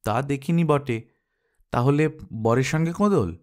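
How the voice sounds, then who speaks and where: A sensible older sister-in-law voiced by a male storyteller talks in a familiar, coaxing way. The voice is medium-pitched and full of concern, as in a dramatized audiobook. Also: a little slow, darkish tone, close to an everyday voice. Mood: concerned, teasing.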